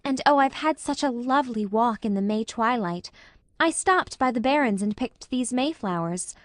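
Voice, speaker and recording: A woman's voice: fairly high pitch, lively intonation, moderate pace, no echo, clean studio sound, natural voice. A woman voicing a dreamy, romantic young woman who speaks in a gushing, lyrical way. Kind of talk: audiobook character voice.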